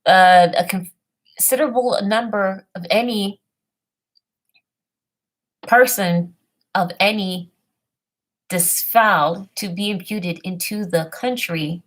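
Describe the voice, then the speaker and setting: A woman talking casually about history research and then reading an old text aloud haltingly, often stumbling over hard words and names. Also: medium pitch, poor audio. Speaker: self-made history presenter.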